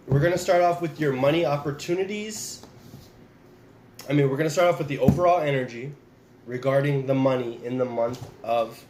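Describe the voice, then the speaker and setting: A man's voice: mid-range voice, a little hiss or hum. Man reading tarot, echoey room. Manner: relaxed, repeats and restarts, addresses viewers directly. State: getting over the flu, nasal. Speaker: tarot reader. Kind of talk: casual spoken tarot reading.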